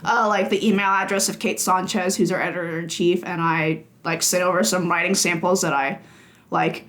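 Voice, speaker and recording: A woman's voice: lowish voice, fairly even intonation, moderate pace, fairly bright tone. A woman in her twenties, a games journalist, talking casually on a podcast with lots of "like" fillers and laughter. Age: 20s. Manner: chatty, frequent "like" fillers, laughs often.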